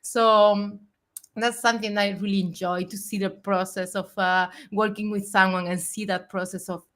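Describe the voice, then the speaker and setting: A woman's voice: medium pitch, level tone, normal speed, fairly bright tone. Reflective woman host in her forties. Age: 40s. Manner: reflective and earnest, with frequent fillers. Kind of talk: live video chat.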